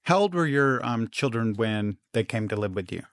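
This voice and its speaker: A man's voice: mid-range voice, animated, normal speed, dry recording, studio quality. A man hosting a podcast, asking questions in a casual, informal way. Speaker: podcast host, interviewer.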